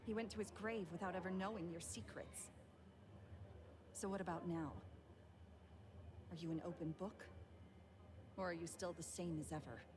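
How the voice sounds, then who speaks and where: A woman's voice: lowish voice, steady tone, normal speed, pauses often, noisy background, natural voice. A woman voicing a bitter, defiant female thief in a video game, pointed and challenging. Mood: bitter, accusing. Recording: music clearly behind the voice.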